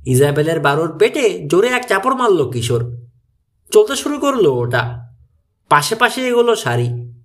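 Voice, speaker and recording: A man's voice: high voice, sing-song, normal speed, pauses often. A man reading a mystery thriller story as scripted audiobook narration.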